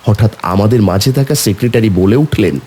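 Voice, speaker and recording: A man's voice: lowish voice, fairly quick, dark tone, slightly rough. A man narrating a horror story in the first person as a somber young man, brooding and suspenseful. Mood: eerie, suspenseful. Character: somber young man recounting an eerie burial.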